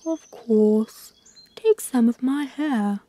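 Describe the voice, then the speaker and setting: A woman voicing a kind, generous male scarecrow in a children's story, in a soft, kindly manner. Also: fairly high pitch, slow pace.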